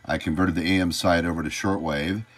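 Low-pitched American man, folksy tutorial talk. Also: fairly even intonation, brisk, a bit bright. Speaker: hobbyist host of electronics tutorial. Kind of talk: informal hands-on tutorial talk. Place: indoors at a home electronics workbench.

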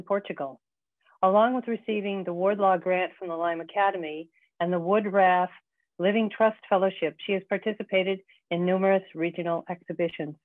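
A woman reading a formal scripted introduction of an artist in an even manner. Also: lowish voice, dry recording. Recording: dry, tinny phone-like audio.

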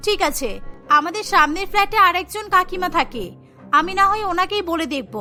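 A woman's voice: very high-pitched, brisk, pushed and bright, faint music behind. A woman voicing a tired young housewife who complains about the endless housework. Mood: complaining. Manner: complaining, exasperated.

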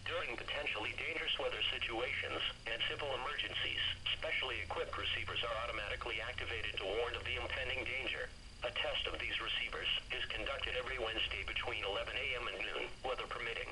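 A man's voice: mid-range voice, quick pace. Noisy radio, synthetic male announcer. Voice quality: synthetic computer voice. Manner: formal, stilted phrasing. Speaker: automated weather radio announcer. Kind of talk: scripted weather broadcast announcement. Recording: noisy weather radio speaker, re-recorded. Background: radio static and noise.

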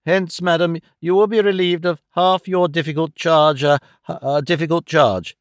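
A pompous, indignant middle-aged gentleman , acted out by a male narrator, pitched high and very clearly voiced. He blusters in grandiose Victorian storybook phrases, halting and hemming as he goes. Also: steady tone, no room sound, clean studio sound, lightly acted. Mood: stately, halting. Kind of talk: audiobook character acting of a Victorian novel.

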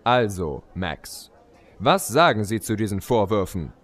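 A man's voice: fairly low pitch, big pitch swings, unhurried, fairly bright tone, exaggerated voice. A man playing a formal, easily exasperated judge keeping order in a comedy courtroom audio play.

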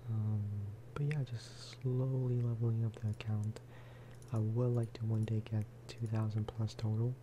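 A man speaking softly in a low-key, rambling ASMR style as he chats over gameplay. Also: noisy background. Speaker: gaming video creator. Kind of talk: soft-spoken ASMR gameplay chat.

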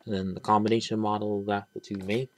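A man giving a casual, rambling toy review, pausing and restarting often as he handles the pieces. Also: lowish voice, little pitch movement, a little hiss or hum. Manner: rambling, halting, many restarts and trailing "but yeah". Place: small indoor room at a table. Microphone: camera close on hands, speaker off-frame.

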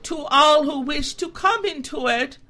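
A woman's voice: high voice, a little slow, pushed and bright, pitched above the performer's own voice. A woman playing a bossy billy goat. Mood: meek.

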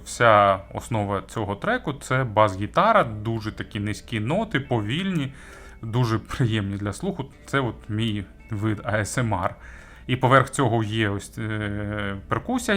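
A man giving a conversational audio gear review, speaking with hesitant pauses, stretched words and emphatic jumps on key phrases. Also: lowish voice, a bit bright. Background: background music.

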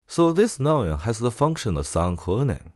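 A man presenting a software tutorial in a casual way. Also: mid-range voice, sing-song, normal speed, dry recording, studio quality.

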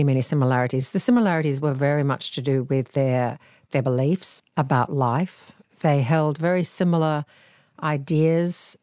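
A woman's voice: low-pitched, dry recording, studio quality. Thoughtful Australian woman in her sixties. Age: 60s. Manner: thoughtful, measured, gentle. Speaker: actor and author guest. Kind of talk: reflective interview storytelling. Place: living room, seated on a sofa.

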